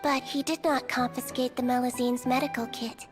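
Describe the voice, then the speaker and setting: Game music plays under a woman acting as a little childlike healer creature with a very high voice. She is composed, gentle and frank, delivering her lines calmly like a small storyteller. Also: normal speed, natural voice. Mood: gentle storytelling. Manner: calm, matter-of-fact.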